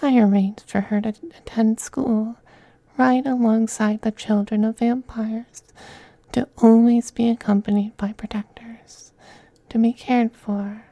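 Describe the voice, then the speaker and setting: Slow, whispery femboy vampire played by a man. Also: very high voice, level tone, no room sound. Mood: veiled threat. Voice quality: soft, breathy, often dropping to a whisper. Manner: slow, coaxing, condescendingly tender. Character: possessive, teasing femboy vampire, cruelly sweet master. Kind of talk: ASMR roleplay, scripted, close and intimate.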